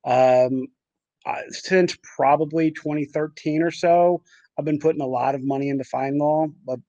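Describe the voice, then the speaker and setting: A man answering interview questions casually as a client, in a halting way, often rephrasing himself. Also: fairly high pitch, steady tone, dark tone, dry recording, highs cut off. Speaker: interviewed client, family law attorney.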